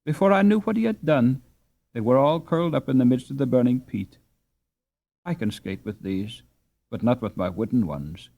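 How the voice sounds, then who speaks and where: A man voicing a lively twelve-year-old girl from a storybook, teasing and tender, in an exclaiming, playful manner. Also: mid-range voice, moderate pace, vocal fry. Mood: plaintive.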